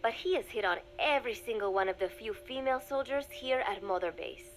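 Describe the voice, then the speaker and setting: An actress playing a young secret agent confiding in a recorded diary, speaking in measured, formal phrases that often drop to a murmur, wistful and resigned. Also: female voice, on the high side, expressive, faint music behind, natural voice. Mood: wry. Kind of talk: scripted dramatic monologue, recorded diary.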